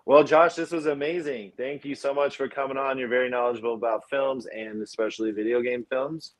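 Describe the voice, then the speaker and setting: A man co-hosting a casual film chat, talking in an informal, opinionated and halting way. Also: mid-range voice, steady tone, poor audio. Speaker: podcast co-host.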